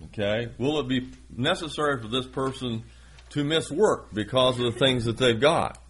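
A man's voice: mid-range voice, normal speed, a bit bright, breathy edge. A man in his sixties preaching a sermon, reading scripture and adding folksy, self-deprecating asides. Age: 60s.

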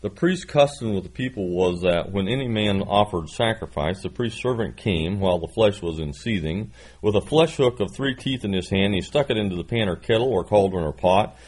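Man, 60s, preacher; low, husky, folksy and self-deprecating. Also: lively intonation, brisk, few pauses, darkish tone. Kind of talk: sermon with scripture reading.

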